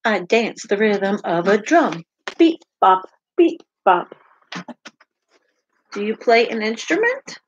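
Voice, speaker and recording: A middle-aged woman teacher reading a picture book aloud to children, with deliberate sing-song emphasis on repeated words. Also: mid-range voice, big pitch swings, highs cut off, a little hiss or hum. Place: home kitchen, indoors.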